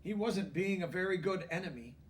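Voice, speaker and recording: Guy with a pretty high voice reading a kids story out loud, bit far from the mic. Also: fairly quick, slight background noise. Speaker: storybook reader. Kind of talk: children's book read-aloud with brief asides.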